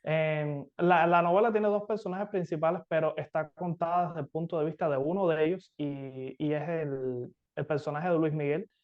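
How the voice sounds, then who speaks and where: A man from Cuba with a Cuban accent, a novelist explaining himself as an interview guest, with hesitant pauses, over dull video call audio. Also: on the high side, steady tone. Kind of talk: conversational interview answers.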